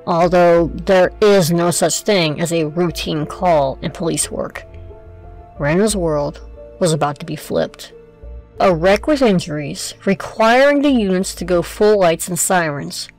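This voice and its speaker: A woman's voice: fairly low pitch, a little mellow, rough recording. A woman reading scripted narration for an audio drama.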